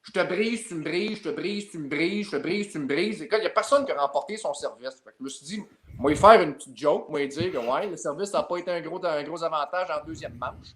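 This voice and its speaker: A man with a Québécois French accent, a sports journalist guest telling a lively story in a podcast chat. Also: a little high, fast talker. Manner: lively, builds up his story with repeated tags.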